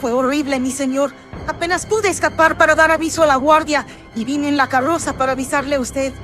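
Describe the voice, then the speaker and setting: A man performs a distraught servant woman in a horror radio play. The voice is pitched very high by processing, agitated and pleading, with faint music behind it. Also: fairly even intonation, fairly quick, fairly bright tone. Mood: distraught, fearful. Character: distraught female servant bearing terrible news. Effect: pitch-shifted processing. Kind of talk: horror radio-drama acting.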